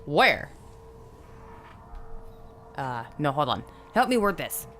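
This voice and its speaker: A woman chatting casually as a tabletop game player, joking and halting as she talks. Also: low-pitched, sing-song, a bit bright, soft background music. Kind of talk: casual chat.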